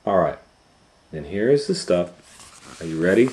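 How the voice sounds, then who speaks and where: A man voicing a fussy, caring storybook mother who gives brisk, firm instructions. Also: sits low, sing-song, darkish tone. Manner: brisk, bossy, listing instructions.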